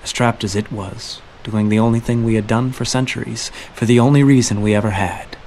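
A man's voice: medium pitch, fairly even intonation, fairly quick, a little mellow, slight background noise, lightly acted. A man playing an ancient, grim spirit, narrating a horror story in a solemn, literary, brooding way. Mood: grim, resigned.

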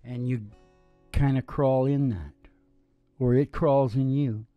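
A middle-aged man hosting with rambling, improvised storytelling in a folksy, halting way, speaking into a close stand microphone. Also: medium pitch, steady tone, no echo, highs cut off, soft background music. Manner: folksy, halting, with trailing sentences. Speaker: podcast host and singing DJ. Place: small indoor stage with curtain backdrop.

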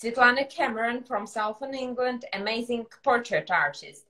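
A woman with a Russian accent hosting an interview over a video call, enthusiastic and quick to laugh. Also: on the high side, a bit bright. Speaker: interview host.

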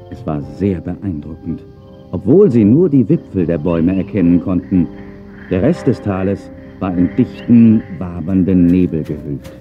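A man narrating a children's audio play as a dramatic, animated storyteller. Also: low voice. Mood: calm storytelling. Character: animated male storyteller of a children's dinosaur tale. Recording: dull cassette-era audio play recording.

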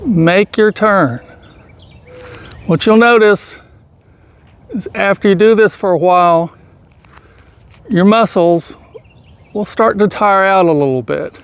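A middle-aged man with a fairly high voice talks through a golf drill slowly and patiently, one step at a time. He is outdoors on a grassy field, picked up from a camera some distance away, with crickets and insects chirping behind him. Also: smooth. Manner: calm, patient step-by-step instruction. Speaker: golf instructor. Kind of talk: instructional golf tutorial. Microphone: far camera, full-body framing.

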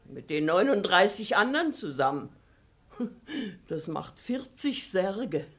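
A bossy, slow-spoken maidservant. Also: female voice, mid-range voice, big pitch swings, a bit bright, breathy edge, full character voice. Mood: soothing, practical. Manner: sardonic, commanding, deliberate. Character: stern, bossy maidservant, scolding yet motherly and complicit. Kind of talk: 1950s German-language radio play acting.

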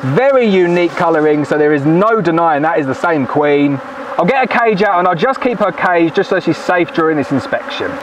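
A man's voice: on the high side, expressive, brisk, slight background noise, rough recording. A man in his thirties, a beekeeper, chatting upbeat as he works through a hive outside in the evening, recorded from a camera on a tripod. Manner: chatty, upbeat, talks while working with the hive. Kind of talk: casual hands-on video narration. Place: outdoor apiary at a forest edge, in the evening. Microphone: camera on a tripod at a distance, wearing a bee suit veil. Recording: faint outdoor bee buzz. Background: faint outdoor buzzing of bees.

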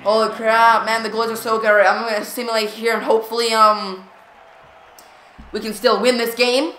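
A woman giving excited play-by-play of a hockey video game, stuttering and repeating words as she reacts. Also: fairly high pitch, moderate pace. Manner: jumpy, reactive, lots of "come on" and "here we go". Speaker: gaming channel host. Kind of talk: excited live gameplay commentary. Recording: roomy echo.

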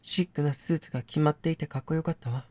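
A composed captive actress, played by a man, speaks her game dialogue with coy, wistful softness. She teases in feminine phrasing, in a dry, close recording. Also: a little high, fairly even intonation, fast talker, dry recording, close to an everyday voice. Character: captive actress, soft, wistful, teasing woman. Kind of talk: dramatic game dialogue reading.

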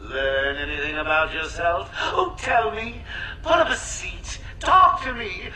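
A cackling clown villain from a video game, mocking everyone with a sing-song lilt. His voice sits high and leaps wildly in pitch, stretched out slowly. Echoey game sound with music underneath. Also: bright, forceful tone, slight background noise, exaggerated voice. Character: cackling male clown villain, gleeful and taunting.

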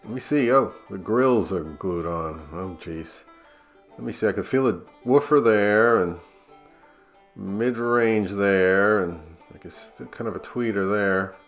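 A man's voice: fairly low pitch, slow, soft background music. A middle-aged American man narrating a casual vlog in a wry, conversational way. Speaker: audio gear YouTuber.

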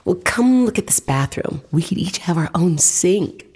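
An actress playing an eager, reassuring house-hunting wife in a naturalistic radio play, enthusiastic and coaxing. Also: female voice, lowish voice, expressive, normal speed, a little mellow, slightly rough.

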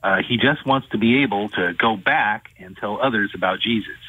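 A man, an attorney, calling in to an interview and recounting a case in a measured way. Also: lowish voice, breathy edge, dry recording. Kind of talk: phone-in interview. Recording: tinny phone line.

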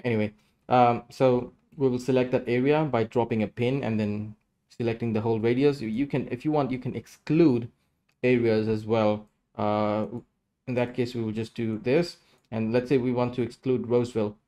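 Man, thirties, mid-range pitch, casual tutorial presenter, explanatory, step-by-step delivery. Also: steady tone, normal speed, mellow. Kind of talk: casual screen-share tutorial.

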